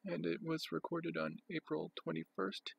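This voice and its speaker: A man with a very gravelly, husky voice gives an unscripted show-and-tell. His pitch sits a little high and his delivery is plain and halting as he goes item by item. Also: flat delivery, muffled recording. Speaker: record collector presenting a collection.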